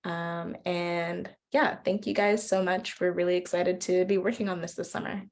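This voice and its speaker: A woman's voice: on the low side, little pitch movement, moderate pace, darkish tone. A woman from Queens, New York, a video artist and poet, giving a casual, reflective introduction on a video call. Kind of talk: video call project presentation.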